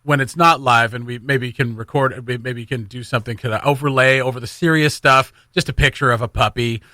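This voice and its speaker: A man's voice: mid-range voice, steady tone, fairly quick, sharp tone, dry recording, clean studio sound. A man hosting a morning radio show in an informal, jokey way with casual banter. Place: dry radio studio.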